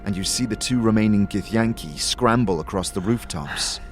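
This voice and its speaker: Deep-ish guy narrating fantasy story, theatrical and measured, music playing behind him. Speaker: narrator and producer of an audio series. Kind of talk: dramatic scripted second-person narration.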